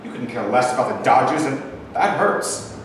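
Brash, argumentative American POW, lively swings in pitch, stage reading in a reverberant hall, played by a young man. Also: moderate pace, natural voice. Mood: hurt, defiant. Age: young adult. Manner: combative, slangy wise guy. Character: brash, stubborn American POW, Brooklyn Dodgers fan. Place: indoor rehearsal room, camera mic at a distance. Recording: very echoey room, distant mic, faint noise.

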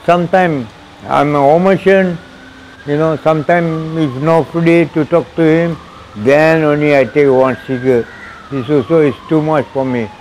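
A man's voice: a little high, steady tone, moderate pace, darkish tone, a little hiss or hum, poor audio. A man in his sixties with a Malaysian English accent, giving an earnest personal testimony in broken, repetitive English on a lapel mic in an outdoor mosque walkway. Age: 60s. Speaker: interviewee.

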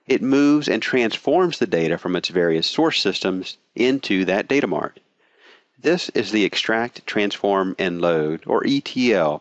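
A man reading a scripted promotional voice-over in a steady, even sales delivery. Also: low voice, dry recording. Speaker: product narrator. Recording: dry, slightly dull voice-over.

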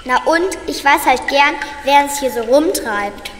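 A woman's voice: sits high, animated, unhurried, slight background noise. A woman voicing a lonely sulky little dwarf. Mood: defensive. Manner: grumbling, defensive, then sheepish. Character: lonely jealous dwarf, small, sulky and defensive. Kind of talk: children's radio play acting.

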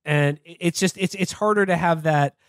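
A man's voice: fairly high pitch, moderate pace, a bit bright, dry recording, clean studio sound. An American man co-hosting a casual political comedy podcast, sardonic and profane in his talk. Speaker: podcast co-host.

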